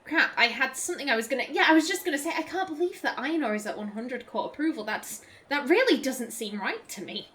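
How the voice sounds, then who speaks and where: A woman's voice: high-pitched, lively intonation, quick pace, hardly any pauses, fairly bright tone. A young woman chatting through gameplay as a let's play host, giggling and stumbling over her words. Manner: chatty, giggly, self-interrupting. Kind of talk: casual gameplay commentary.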